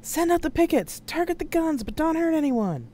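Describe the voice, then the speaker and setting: A narrator voicing a commanding male intelligence chief in an audiobook story, brisk and urgent. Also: medium pitch, expressive, darkish tone, a little hiss or hum, voice pushed up, lightly acted. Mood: shouting, urgent. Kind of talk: audiobook character dialogue.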